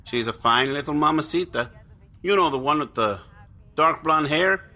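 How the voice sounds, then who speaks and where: A man acts out a sociable young buddy in a story. His voice sits a little high with a sharp, forward tone. He talks casually and slangily, like a protective brother. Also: expressive, normal speed. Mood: chummy, teasing. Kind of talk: audio horror story character voice.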